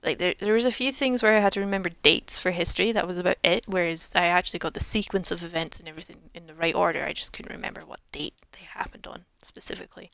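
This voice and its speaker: A woman's voice: on the low side, steady tone, fast, creaky, hardly any pauses, a bit bright, dry recording. A young woman in her twenties, rambling casually over a video game she plays. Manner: rambling, chatty, self-mocking. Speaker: game streamer. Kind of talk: casual commentary over gameplay.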